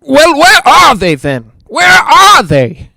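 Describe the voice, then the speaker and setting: A man's voice: very high voice, huge pitch swings, slow, gravelly, sharp tone, no room sound, raised voice, full character voice. A man playing a grumpy, anxious, penny-pinching property owner in a 1940s-style radio drama, curt and suspicious. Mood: agitated.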